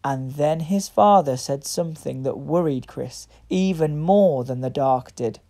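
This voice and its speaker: A woman reading a picture book aloud to young children, with deliberate, expressive phrasing. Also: low-pitched. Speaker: children's story reader.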